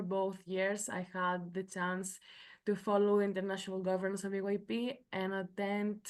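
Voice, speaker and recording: A woman, introducing herself carefully and deliberately as an election candidate over a video call. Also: medium pitch. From Greece. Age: 20s. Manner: careful, deliberate, with slowed phrases.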